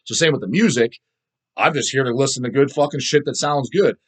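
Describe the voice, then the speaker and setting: A man with a mid-pitched voice talks casually as the host of his own video show. He is blunt, opinionated and heavily profane. His delivery rambles, and he repeats words to drive a point home. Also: a little fast, breathy edge. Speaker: host of a video show about underground death metal. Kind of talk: casual rambling opinion vlog, answering viewer comments.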